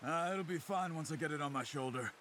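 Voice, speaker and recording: A man playing a calm, watchful outlaw hunter in a video game, speaking in a measured, terse, plain way. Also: fairly high pitch, a little hiss or hum, lightly acted. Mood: easygoing, practical. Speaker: outlaw gang member. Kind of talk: naturalistic video game acting. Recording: loud music bed behind dialogue.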